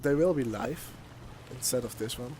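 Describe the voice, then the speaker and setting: Young Dutch-accented gamer, halting voice-over with restarts, gunfire noise under it. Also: male voice, mid-range voice, warm tone. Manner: rambling, hesitant, many restarts. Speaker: gaming YouTuber. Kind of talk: gameplay commentary voice-over. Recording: game gunfire and noise behind.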